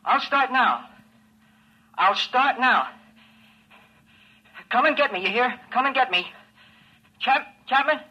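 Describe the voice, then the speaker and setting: High-voiced doomed moon astronaut, old radio. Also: male voice, expressive, exaggerated voice. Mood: panicked. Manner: halting, repeats himself, breaks off phrases. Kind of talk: 1950s radio drama acting. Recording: dull 1950s radio recording.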